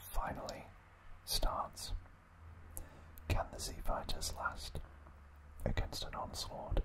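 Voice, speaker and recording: A man hosting an ASMR video, whispering trivia in a hushed, deliberate manner with pauses. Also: rough recording.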